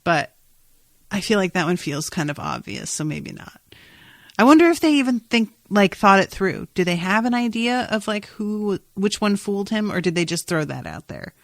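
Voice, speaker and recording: A woman's voice: medium pitch, very animated, normal speed, dry recording, studio quality. A woman co-hosting a podcast in casual recap chat, exclamatory and freely swearing. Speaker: podcast co-host.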